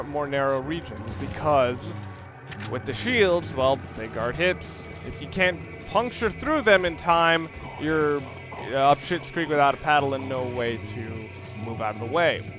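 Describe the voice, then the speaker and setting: Man, slightly high voice, casual gameplay commentary, rambling explanations, clear music behind. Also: animated, measured pace. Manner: rambling, thinks aloud, explains tactics. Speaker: let's play host. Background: game music and sounds.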